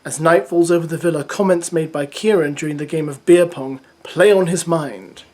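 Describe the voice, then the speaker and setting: A vlogger recaps a dating show. The delivery is chatty and opinionated, with exasperated asides. The voice sits in a middling pitch. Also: normal speed, a little mellow. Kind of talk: reads an episode preview, then chats with opinions.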